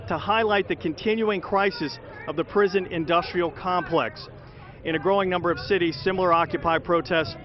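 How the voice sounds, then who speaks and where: A man delivering a television news report as a field reporter. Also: high-pitched. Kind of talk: live and packaged news report. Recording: dull audio, street crowd noise behind.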